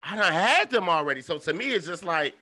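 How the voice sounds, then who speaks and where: A man's voice: high-pitched, expressive, brisk, sharp tone. A man in his thirties co-hosting a podcast, telling rambling, profane stories with lots of fillers. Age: 30s. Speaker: podcast co-host. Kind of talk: casual chat.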